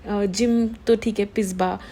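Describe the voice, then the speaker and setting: Chatty woman with a mellow voice. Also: medium pitch, steady tone, unhurried. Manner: chatty, gossipy, switching between Hindi and English. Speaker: gossip commentary host. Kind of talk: casual Hindi-English commentary.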